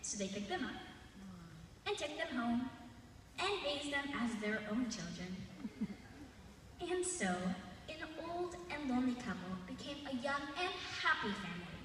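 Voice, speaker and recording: Little girl around 11 telling a story, super animated n dramatic, big echoey hall, mic sounds far off. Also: sits high. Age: child, 11. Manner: theatrical, expressive delivery with dramatic pauses. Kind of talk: live storytelling of a folk tale to an audience. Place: large indoor arena, live festival stage. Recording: distant, echoey arena with long reverb and noise.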